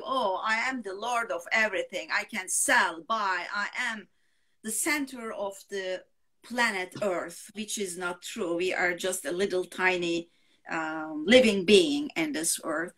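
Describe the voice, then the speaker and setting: A woman writer with a Turkish accent, speaking English as a second language in an interview, often pausing with fillers to find words. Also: medium pitch, fairly bright tone. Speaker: novelist guest. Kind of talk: interview conversation.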